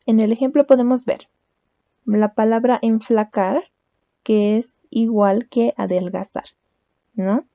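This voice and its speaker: A woman's voice: on the high side, steady tone, slow pace, long pauses, dark tone, no echo, lo-fi sound. A young woman teaching a language lesson, explaining step by step and often checking with a questioning "¿no?". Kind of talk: recorded lecture.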